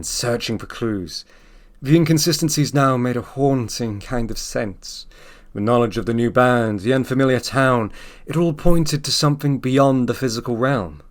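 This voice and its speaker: A man's voice: medium pitch, normal speed. A man narrating an audio drama in a scripted, dramatic storytelling style. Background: music.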